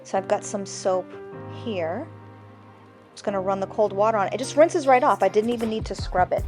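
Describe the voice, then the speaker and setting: Frank woman demonstrating over soft music. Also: mid-range voice, fairly quick. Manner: relaxed, frank, a little defiant. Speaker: homesteading channel host. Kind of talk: casual how-to demonstration. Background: faint music.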